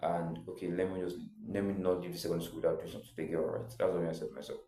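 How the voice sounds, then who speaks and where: A young man tells his own story in a loose, rambling way, leaning on filler words as he goes. His voice sits very low and sounds mellow and soft-edged. The room around him adds a clear echo. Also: little pitch movement, very fast, a little hiss or hum. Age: young adult. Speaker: vlogger. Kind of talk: casual personal storytelling.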